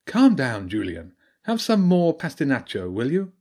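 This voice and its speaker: A man voicing a wise female badger priestess in an audiobook, speaking in a measured, knowing way with solemn warnings. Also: medium pitch, wildly swooping pitch, darkish tone, voice pushed up. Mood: grave, soothing. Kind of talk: audiobook character voice.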